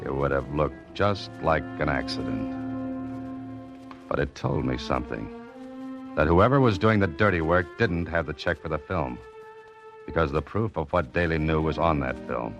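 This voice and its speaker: An actor playing a hard-boiled private detective, narrating in terse, wry 1940s radio style. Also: male voice, extremely low pitch, moderate pace, over music. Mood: tense.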